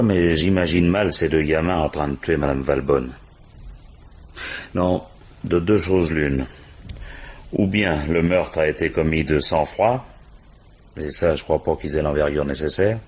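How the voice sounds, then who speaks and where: An actor playing a shrewd, authoritative police commissioner who questions insistently and methodically in a French radio crime drama. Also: male voice, very deep voice, darkish tone, husky, exaggerated voice. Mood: reflective.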